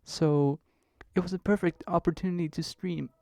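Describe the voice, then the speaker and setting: A young man streaming from his bedroom at home, chatting casually and rambling a bit, his voice still hoarse from being sick. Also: a little high, steady tone, moderate pace, a little mellow, no room sound, studio quality. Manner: rambling, chatty, laughs easily. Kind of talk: casual live-stream chat.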